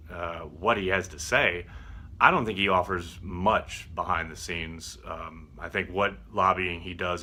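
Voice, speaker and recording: A man giving casual sports commentary as a show host, measured and conciliatory in how he responds. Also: deep voice, pushed and bright.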